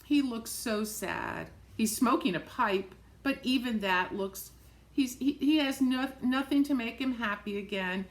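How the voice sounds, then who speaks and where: Slow-paced woman reading a picture book aloud. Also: on the high side. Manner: expressive, repeats phrases, points things out to children. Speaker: storybook reader for young children. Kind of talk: picture-book read-aloud with simple asides explaining the pictures.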